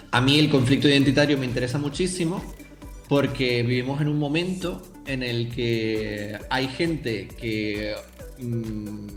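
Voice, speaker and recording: Young Canarian male author, mid-pitched, thoughtful interview guest, roomy sound. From Canary Islands, Tenerife. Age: young adult. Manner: thoughtful, addresses listeners directly. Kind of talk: reflective interview answers.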